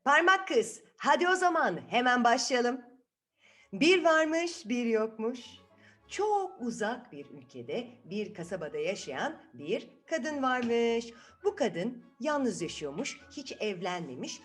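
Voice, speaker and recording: A woman hosting a children's story channel, telling a fairy tale in an expressive, chatty way and putting questions to her young listeners. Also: on the high side, slow pace, slightly rough, faint music behind.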